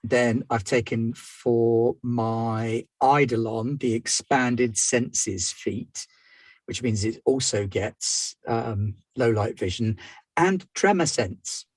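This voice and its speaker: A man's voice: mid-range voice, slow pace, no echo, poor audio. A man playing a tabletop game, thinking aloud while he walks through his character. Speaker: player. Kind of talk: casual chat about game rules.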